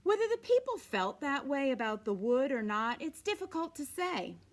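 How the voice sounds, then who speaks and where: A woman reading a storybook aloud with deliberate, dramatic phrasing and informal asides, sometimes dropping to a hush. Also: high voice, very animated. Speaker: read-aloud reader.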